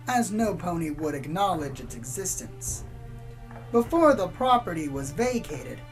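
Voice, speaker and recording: A woman playing a solemn, ominous pony radio host in a scripted radio drama, with a measured, theatrical delivery. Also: lowish voice, a little mellow, close to an everyday voice, low-quality recording. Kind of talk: scripted radio drama narration, spoof community radio.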